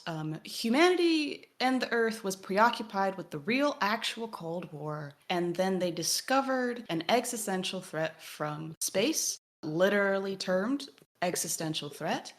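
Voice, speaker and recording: A woman's voice: mid-range voice, lively intonation, unhurried. A woman co-hosting a podcast, giving a conversational explanatory summary and leaning emphatically on key words. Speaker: podcast co-host.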